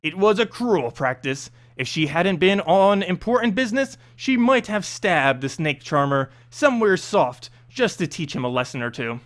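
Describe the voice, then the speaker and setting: A man narrating a fantasy story aloud in an expressive, dramatic, slightly halting reading. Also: high voice.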